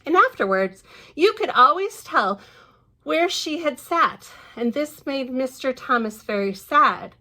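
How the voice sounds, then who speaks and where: Very animated high-voiced woman reading to children. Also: a little slow. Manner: animated, sing-song, repeats phrases and affirms herself. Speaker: children's librarian and storytime reader. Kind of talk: storybook read-aloud with chatty asides to young children.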